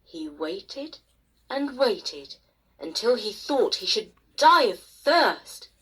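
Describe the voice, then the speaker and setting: A woman storyteller narrating a bedtime tale expressively, with dramatic pauses and emphasis. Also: mid-range voice, big pitch swings, a bit bright, slightly rough, rough recording. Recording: faint noise behind the voice.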